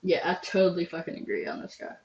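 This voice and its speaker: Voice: medium pitch, lively intonation, fast talker, a little mellow, muffled recording. A young adult reaction host commenting casually, rambling with many ums and casual swearing.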